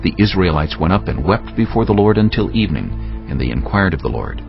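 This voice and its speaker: A man's voice: low-pitched, big pitch swings, brisk, vocal fry, highs cut off, music in the background. A man narrating dramatized scripture as a solemn storyteller.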